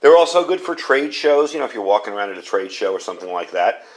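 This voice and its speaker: A man's voice: lowish voice, big pitch swings, fast talker. Casual man reviewing gear. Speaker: product reviewer and channel host. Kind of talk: casual hands-on product review vlog.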